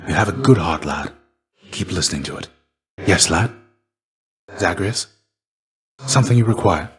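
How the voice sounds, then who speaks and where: A deep, fatherly, weary warrior mentor. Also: expressive. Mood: steady, encouraging. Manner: measured, formal, gentle archaic phrasing. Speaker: game character voice actor. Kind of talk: scripted video game dialogue.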